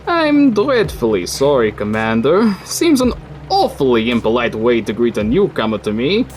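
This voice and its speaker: An actor playing a courteous, apologetic city coordinator who turns quietly menacing, in an audio drama. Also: male voice, medium pitch, huge pitch swings, moderate pace, background noise. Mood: politely menacing. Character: polite but sinister city coordinator man. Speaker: coordinator of a recycling facility.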